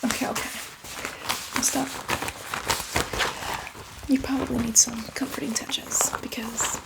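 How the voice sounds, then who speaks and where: A woman's voice: a little high, flat delivery, measured pace, rough recording. A woman playing a hardcore, teasing zombie mentor in a whispered, coaxing ASMR roleplay with playful asides. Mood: hungry, teasing.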